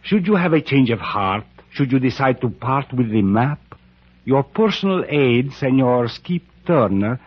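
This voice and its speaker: A man's voice: medium pitch, lively intonation, measured pace. An actor playing a sinister, silky, mockingly courteous cult priest in an old-time radio drama, drawing out his words with theatrical relish. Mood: mocking courtesy. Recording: muffled vintage radio recording.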